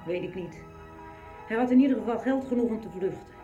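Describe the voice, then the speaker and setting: A woman's voice: fairly high pitch, animated, fairly quick, warm tone, distant mic, close to an everyday voice. A woman acting a worried mother, off-mic. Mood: grave, hesitant. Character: worried mother telling a painful family secret. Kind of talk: 1990s radio soap acting. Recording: muffled, roomy, off-mic, faint music.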